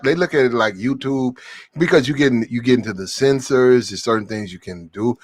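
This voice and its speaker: A man's voice: medium pitch, dry recording. A man on an online panel, explaining things patiently with casual slang and reassurance. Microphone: headphones with mic.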